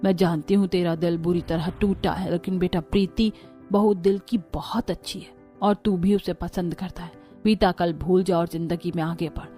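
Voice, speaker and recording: A woman's voice: on the low side, fairly even intonation, moderate pace, warm tone, faint music behind, lightly acted. Woman acting a grave, kindly old grandmother. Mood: grave, urging. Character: kindly old grandmother giving firm, caring advice.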